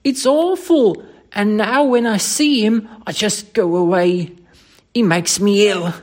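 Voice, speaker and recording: A reader voicing a young Cockney ex-soldier servant in an audiobook story, rough-spoken, emphatic and deferential. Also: medium pitch, lively intonation, slow pace, pitched above the performer's own voice, full character voice. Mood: horrified, earnest.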